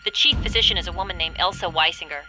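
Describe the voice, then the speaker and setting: A woman's voice: fairly low pitch, fast talker, over music, natural voice, rough recording. A woman playing a resolute, capable ex-agent and tech partner in a video game, direct and matter-of-fact. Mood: focused. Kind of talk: video game cutscene acting.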